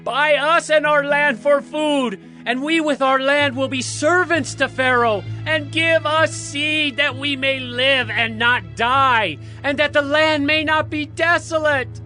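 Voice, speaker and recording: An actress playing a famine-stricken Egyptian villager in a Bible audio drama, pleading earnestly. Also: female voice, high voice, slow, few pauses, bright, forceful tone, soft background music, full character voice.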